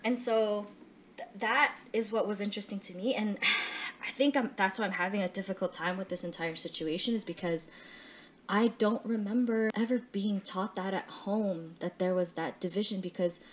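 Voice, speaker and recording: A woman's voice: medium pitch, normal speed, slight background noise. Reflective woman, casual chat. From Canada. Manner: reflective, thinks aloud with fillers and restarts. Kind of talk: casual conversation.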